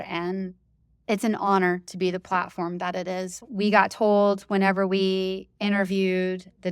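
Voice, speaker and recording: A woman who hosts a running podcast, talking as an interview guest in a loud, emphatic, enthusiastic way and laughing easily. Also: medium pitch, clean tone, no room sound, studio quality. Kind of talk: casual interview chat.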